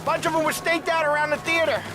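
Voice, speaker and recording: Excitable scheming gangster. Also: male voice, very high voice, fast, background noise. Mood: urgent. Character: scheming, excitable mobster sidekick in a car chase. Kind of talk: video game cinematic acting.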